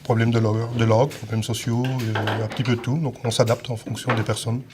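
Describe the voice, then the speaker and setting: A soft-spoken man answering hesitantly in an interview. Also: medium pitch, flat delivery, fairly quick, noisy background.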